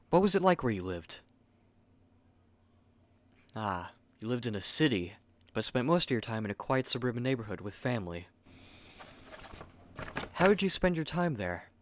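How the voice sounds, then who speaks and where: A man's voice: fairly low pitch, dry recording. A man playing a haughty, fussy young manga artist in an ASMR roleplay, speaking curtly and articulately to the listener on a close mic.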